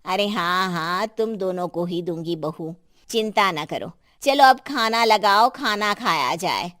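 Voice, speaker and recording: A woman's voice: fairly low pitch, lively intonation, unhurried, fairly bright tone, no room sound, studio quality. A woman voicing a kindly, generous older mother-in-law in a Hindi cartoon story. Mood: reassuring.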